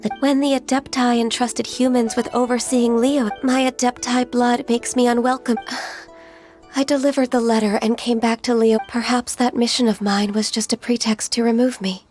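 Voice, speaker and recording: A woman voicing a gentle, reserved young half-immortal woman in an anime video game, speaking politely and formally in a soft, youthful voice. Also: fairly high pitch, flat delivery, moderate pace, lightly acted. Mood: wistful. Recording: loud background music.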